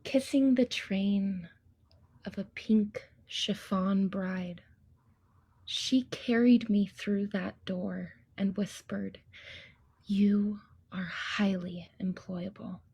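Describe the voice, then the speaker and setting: A woman performing a wistful childhood memory as a theatrical poetic monologue, in a measured, husky, creaky voice. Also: mid-range voice, fairly even intonation, a little mellow, heavily acted. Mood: hushed.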